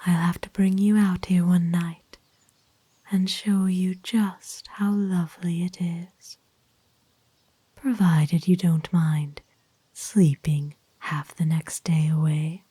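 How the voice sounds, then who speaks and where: A woman voicing a kind, doting aristocratic vampire in an ASMR roleplay, speaking formally and tenderly in a soft, breathy whisper. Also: fairly low pitch, steady tone, no room sound, heavily acted. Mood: wistful, fond. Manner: formal, genteel, tender, unhurried. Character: kind, doting aristocratic female vampire owner, gently possessive.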